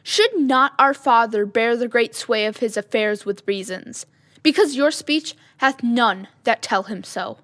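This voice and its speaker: Woman as an indignant priestly prince, highish voice. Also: unhurried, a bit bright. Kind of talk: Shakespearean audio drama acting.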